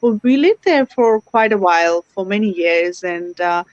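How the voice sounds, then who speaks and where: A woman talks as a guest in an online interview. She speaks earnestly and gratefully, filling pauses with "you know." The call audio is dry and dull, with a lo-fi sound. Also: mid-range voice, lively intonation, darkish tone, dry recording. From India. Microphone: video call.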